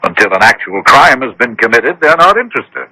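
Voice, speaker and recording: A man's voice: mid-range voice, very animated, fairly quick, sharp tone, husky, no echo, heavily acted, low-quality recording. An actor playing a wealthy, anxious, defensive man obsessed with the occult, insistent and dramatic, in radio drama style. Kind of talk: 1980s radio drama acting. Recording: old radio drama broadcast.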